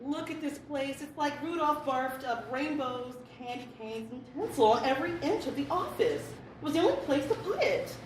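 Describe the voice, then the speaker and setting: A woman's voice: high-pitched, animated, normal speed, far from the mic. A woman playing a brash, gossipy office coworker in a comic radio-style Christmas party sketch. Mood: defensive. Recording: echoey, noisy room, off mic.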